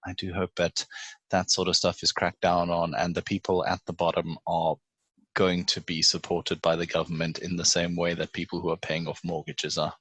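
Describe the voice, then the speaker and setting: A man's voice: deep voice, little pitch movement, normal speed, fairly bright tone, slightly rough, no echo. A man co-hosting a podcast in casual panel chat, speaking in an earnest, opinionated way. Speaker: podcast co-host.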